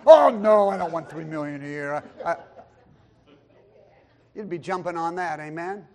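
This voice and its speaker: A man preaching a sermon, quoting scripture and swinging between loud emphatic lines and quiet low asides. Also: lively intonation, normal speed. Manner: quotes scripture and acts out voices of others in the talk. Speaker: pastor.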